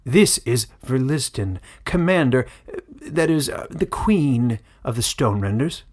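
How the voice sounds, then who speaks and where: A man's voice: medium pitch, lively intonation, a little slow, darkish tone. A man voicing a solemn, self-righteous old village elder who makes formal speeches in a fantasy story. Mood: fawning.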